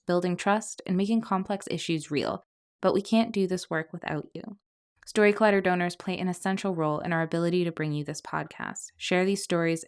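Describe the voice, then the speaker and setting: A woman's voice: mid-range voice, smooth. A woman podcast host reading scripted narration between stories.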